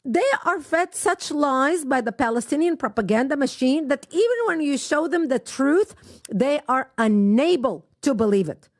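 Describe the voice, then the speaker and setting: A middle-aged woman from Lebanon with a high, lively voice that swoops up and down as she tells her story. She speaks with impassioned emphasis, recorded close and dry with no room sound. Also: normal speed, no room sound. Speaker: guest. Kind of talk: personal testimony in an interview.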